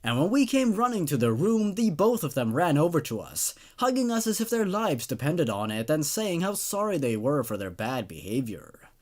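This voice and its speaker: A man's voice: fairly high pitch, sing-song, normal speed, few pauses. A man voicing a weary, doting father of twins, giving an earnest and dramatic infomercial testimonial in a story reading. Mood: relieved, grateful.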